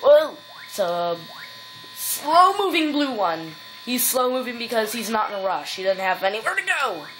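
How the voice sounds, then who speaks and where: A woman's voice: on the high side, sing-song, moderate pace, fairly bright tone, poor audio. Chatty woman for let's play commentary, rambly and giggly, phone-recorded, light background hiss. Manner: rambling, giggly, lots of fillers and silly rhymes. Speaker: gameplay commentator. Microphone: phone filming the TV.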